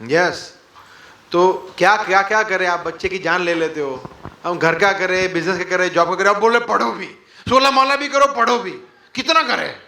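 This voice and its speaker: A man with a high, ringing voice speaks to a live audience at a spiritual class. He answers their points with rhetorical questions and playful mock quotes. His voice carries through a reverberant hall. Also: normal speed, bright, forceful tone. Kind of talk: interactive spiritual class talk.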